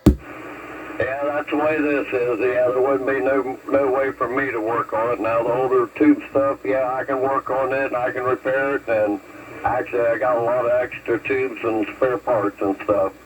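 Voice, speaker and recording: A man's voice: mid-range voice, fairly even intonation, moderate pace. Muffled, static-laden man over CB radio. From West Virginia, USA. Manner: folksy, plain-spoken. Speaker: CB radio caller and hobbyist. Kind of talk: casual radio chat. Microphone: radio transceiver microphone. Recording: muffled, very noisy distant CB radio transmission.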